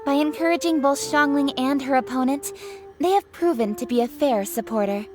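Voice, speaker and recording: A woman voices a formal officiator in a game cutscene. She announces in a high voice with crisp formality while game music plays. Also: brisk, close to an everyday voice. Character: formal female contest officiator.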